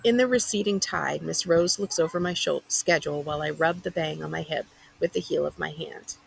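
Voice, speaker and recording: A woman librarian reading a first-person children's novel aloud as expressive narration. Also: sits low, brisk, gravelly, a little hiss or hum, poor audio.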